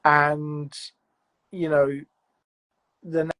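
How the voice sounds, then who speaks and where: A man with a slightly raised voice talks through his craft in a loose, reflective ramble. He restarts sentences often and keeps filling gaps with "you know" as he thinks out loud. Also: a little high, flat delivery, very slow, poor audio. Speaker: audio fiction producer, interview guest. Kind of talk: casual interview chat.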